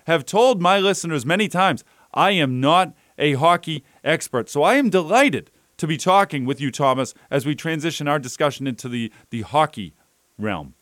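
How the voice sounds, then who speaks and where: A man's voice: fairly high pitch, animated, moderate pace, a bit bright, no echo, studio quality. A man hosting a local radio talk show, chatty and upbeat, rambling a little as he talks.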